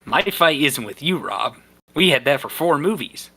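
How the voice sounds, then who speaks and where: Guy doing a menacing cartoon polar bear, sardonic, sharp bright voice. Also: a little high, lively intonation, moderate pace, husky, exaggerated voice. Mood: grim, resolute.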